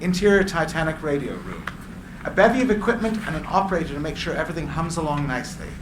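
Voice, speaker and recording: A man reading screenplay stage directions as narrator, with deliberate descriptive phrasing. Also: on the high side, expressive. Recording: echoey room, clear background noise.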